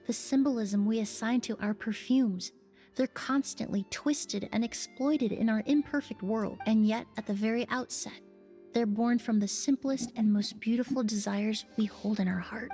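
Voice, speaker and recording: A woman voicing a calm, reflective female perfumer in a video game, speaking in a soft, thoughtful, measured way. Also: on the high side, close to an everyday voice. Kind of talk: game voice acting.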